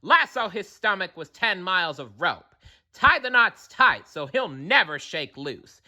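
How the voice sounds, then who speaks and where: A man's voice: sits high, very animated, measured pace, pushed and bright, pitched above the performer's own voice, full character voice. A man voicing a rowdy gang of mean jungle monkeys in a jeering storybook voice.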